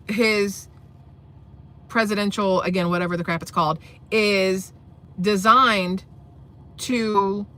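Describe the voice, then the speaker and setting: A woman's voice: mid-range voice, pushed and bright. A woman hosting a rambling political commentary vlog, blunt, profane and sarcastic, with a voice she says sounds boyish. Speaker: commentary channel host.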